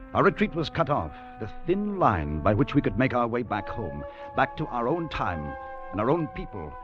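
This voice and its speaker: A man's voice: medium pitch, natural voice. An actor playing an earnest, wondering time traveler who recounts his adventure in a 1950s radio drama. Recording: muffled old radio recording, music behind.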